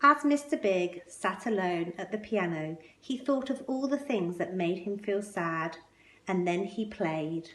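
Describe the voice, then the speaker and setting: A woman reading a children's picture book aloud expressively, with measured, emphatic phrasing and pointed pauses. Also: on the low side, a bit bright, a little hiss or hum. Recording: roomy, echoing indoor sound.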